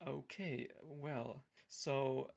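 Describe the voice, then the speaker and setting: A woman's voice: extremely low pitch, fairly even intonation, extremely slow, vocal fry, darkish tone. A woman in a casual improvised comic role-play, speaking in a mild, hesitant way.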